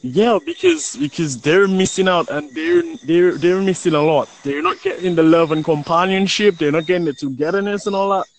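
A man from London in a casual chat, relaxed and rambling with plenty of likes. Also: sits high, normal speed, few pauses, low-quality recording. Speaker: chat participant. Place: Saint Lucia.

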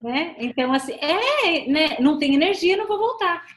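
Mulher brasileira de voz mais aguda. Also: female voice, high voice, very animated, moderate pace. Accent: Brazilian. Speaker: missionary, interview guest. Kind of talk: casual interview conversation.

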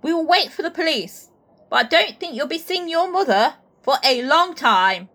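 A woman playing a brisk bright security guard. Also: sits high, lively intonation. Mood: stern. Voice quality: brisk, authoritative. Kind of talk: amateur audio drama acting.

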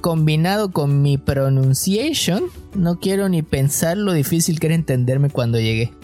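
A man with a Mexican coastal accent co-hosting a podcast, chatting casually and telling stories in a relaxed, slangy way. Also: on the high side, moderate pace, soft background music. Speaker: podcast co-host.

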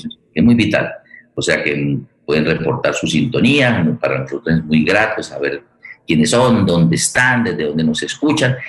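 Very deep-voiced Colombian man, roomy sound. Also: a bit bright. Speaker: host of an online radio theatre forum. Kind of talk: unscripted spoken introduction to a live programme.

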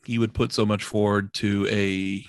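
A man's voice: fairly low pitch, flat delivery, normal speed, no room sound, studio quality. A man co-hosting a casual podcast, hesitant and full of fillers, laughing often, on a video call. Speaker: podcast co-host. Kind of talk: casual chat. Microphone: computer mic on video call.

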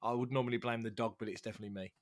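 A middle-aged man with a slightly rough, congested voice from a cold, telling anecdotes casually as a podcast guest. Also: medium pitch, steady tone, rapid-fire. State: getting over a cold, congested. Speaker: podcast guest, fan-site writer and interviewer.

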